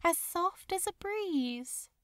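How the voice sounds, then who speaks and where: A woman reading a romantic story aloud as a narrator, with a dramatic, tender and measured delivery. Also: very high voice, expressive, a bit bright, dry recording, clean studio sound. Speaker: fan fiction audiobook narrator. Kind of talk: expressive scripted story narration.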